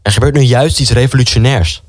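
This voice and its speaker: An actor playing an excitable, defiant young brother in a wartime radio drama, pushy and quick to complain. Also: male voice, medium pitch, no echo, clean studio sound, lightly acted. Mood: flippant. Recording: music under the voice.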